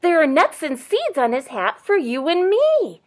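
Storybook chickadee, played by a woman: high, chirpy, brave, sing-song and very animated. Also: unhurried, heavily acted. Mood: excited, inviting. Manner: sing-song, rhyming repeated syllables. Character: chirpy, brave, eager little chickadee bird.

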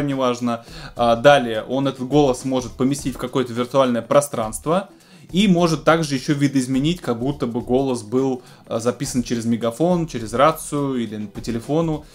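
A man in his thirties casually presenting news to camera in an explanatory, conversational way, as host of a music technology show. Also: mid-range voice. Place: small home music studio, indoors.

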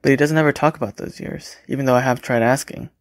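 A man's voice: medium pitch, fairly even intonation, fast, dry recording, studio quality, lightly acted. A man playing a courteous, reserved, caring young mortician in an audio drama. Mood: reflective. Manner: courteous, understated.

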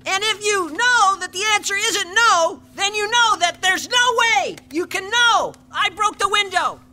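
An actress playing a sly, pushy, troublemaking kid in a comic audio drama. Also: female voice, extremely high pitch, pushed and bright, full character voice. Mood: pushy, triumphant. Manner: pushy, fast-talking logic.

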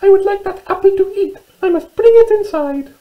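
Tiny squeaky little storybook mouse. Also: female voice, normal speed, dark tone. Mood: eager, polite. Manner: polite, eager. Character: tiny determined female mouse, polite and hungry. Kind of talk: children's storybook character voice.

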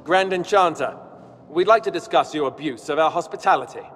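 A man's voice: a little high, expressive, fairly quick, a bit bright, a little hiss or hum. A man playing a stern, indignant young king in a video game, formal and commanding. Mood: stern displeasure. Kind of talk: video game cutscene acting. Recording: long hall reverb, background music.

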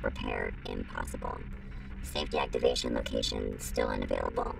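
A woman voicing a lone, failing war robot in clipped status reports, with a pitch-lowered machine effect, in a science-fiction audio story. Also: animated, fairly quick, distant mic, over music, exaggerated voice, rough recording. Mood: bleak, failing. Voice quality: deep, gravelly, pitched-down voice.

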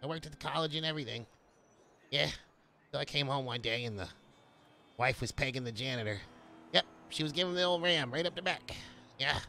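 A man's voice: medium pitch, brisk, vocal fry, long pauses, sharp tone, a little hiss or hum. A man voicing an unhinged homeless ex-academic who rambles nonchalantly in a game roleplay. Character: eccentric, unhinged homeless male ex-physicist, oddly nonchalant.

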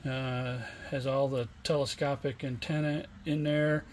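A middle-aged man giving a casual, unscripted product demonstration in a plainspoken, halting way. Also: mid-range voice, little pitch movement. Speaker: online seller demonstrating used radio equipment. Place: indoor home workshop bench. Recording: room noise, homemade camera recording.

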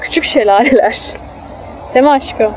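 A woman's voice: high voice, normal speed, a little mellow, poor audio. A woman, a mother chatting casually with a child and pointing things out on an outdoor family vlog. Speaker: mother filming a family outing. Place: outdoors in a theme park. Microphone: handheld phone. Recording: outdoor ambient noise on phone.

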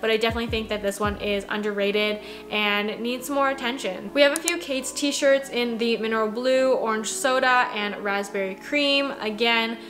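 A woman's voice: on the high side, steady tone, moderate pace, hardly any pauses, fairly bright tone, soft background music, poor audio. A woman in her twenties chatting casually from her home office as she reviews new clothing releases, with plenty of "like" fillers. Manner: chatty, conversational, lots of "like" fillers. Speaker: fashion vlogger. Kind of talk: casual product review chat. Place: small home office, indoors.

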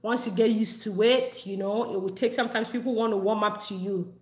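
A Ghanaian woman vlogging in a casual, animated way. She laughs often and repeats phrases for emphasis. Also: mid-range voice, brisk. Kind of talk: casual talk to camera. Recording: echoey room.

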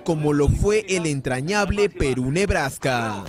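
This man reads a television news report in a lilting, practiced cadence, and his pitch sits a little high for a man. Music plays clearly under the narration. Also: animated, unhurried. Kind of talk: scripted news voice-over. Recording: news voice-over with music bed.

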